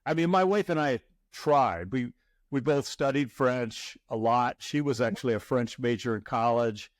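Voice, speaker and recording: This is a man hosting an interview podcast, reading guest bios and then chatting easily. He often stumbles and repeats a word before his question comes out. His voice sits at a middle pitch and sounds close and dry, with little room. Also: moderate pace, no echo. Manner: chatty, repeats words when starting. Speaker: podcast host.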